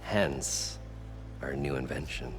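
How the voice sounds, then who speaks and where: Deep-voiced theatrical inventor. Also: male voice, slow pace. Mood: matter-of-fact, eager. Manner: dramatic, flowery storytelling. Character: eager, theatrical male inventor and showman chasing treasure. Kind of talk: video game cutscene acting. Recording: music behind the voice.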